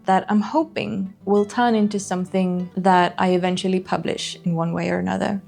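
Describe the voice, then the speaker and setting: A woman's voice: medium pitch, gravelly, darkish tone. A young woman from northern Sweden, an illustrator, talking to camera in a casual, thoughtful, frank way, with fillers like "like" and "so yeah.". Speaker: illustrator and video creator. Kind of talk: casual reflective talk to camera.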